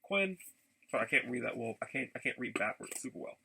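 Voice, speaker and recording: A man chatting casually in a rambling vlog, haltingly, often repeating and restarting his phrases. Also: mid-range voice, big pitch swings, creaky, bright, forceful tone, a little hiss or hum. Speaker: vlogger.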